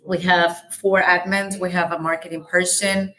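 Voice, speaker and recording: A woman from El Salvador with a light Salvadoran Spanish accent, a native Spanish speaker, talking as a guest in a casual podcast interview about her real estate business, energetic and full of fillers. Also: on the low side, moderate pace.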